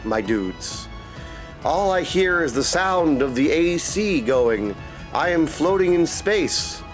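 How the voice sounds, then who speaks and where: A man's voice: a little high, big pitch swings, takes it slowly, soft background music. A man chatting casually to viewers as a game streamer, with a goofy, theatrical, playful manner. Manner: goofy, theatrical, playful with invented silly words; addresses listeners repeatedly as "my dudes" and "my friends". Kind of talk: casual livestream chat to viewers. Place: indoors at a desk in a streaming setup. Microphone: streamer microphone and headset.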